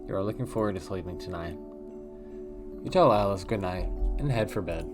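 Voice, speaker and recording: Deep-voiced man reading a horror game. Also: brisk, music in the background. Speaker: let's play host.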